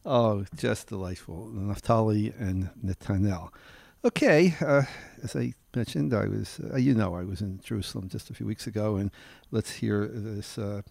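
Low, gravelly-voiced male radio host. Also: normal speed, few pauses, darkish tone, no room sound. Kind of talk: informal programme introduction.

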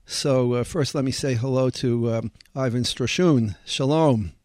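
A man's voice: medium pitch, a little slow, darkish tone, no echo, clean studio sound. A man hosting a radio interview, speaking hesitantly, with many "uh"s and "um"s. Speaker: radio host.

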